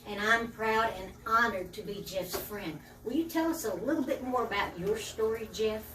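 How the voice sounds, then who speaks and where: A woman hosting a casual interview, who speaks of her guest with warmth and admiration. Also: a little high, normal speed, noisy background. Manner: warm, admiring. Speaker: interview host. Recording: echoey room, distant mic.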